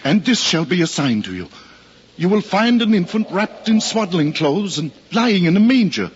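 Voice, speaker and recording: A man's voice: high-pitched, sing-song, moderate pace. An actor in a 1950s radio drama playing an awestruck, reverent shepherd, telling his tale in solemn declamation. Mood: reverent, exalted. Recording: muffled, noisy old radio recording.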